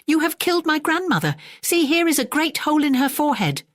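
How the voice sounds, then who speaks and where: Narrator acting a crafty peasant trickster, high-pitched. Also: very animated, fairly quick, fairly bright tone, no echo, clean studio sound. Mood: mock outrage. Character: sly, quick-witted male peasant trickster.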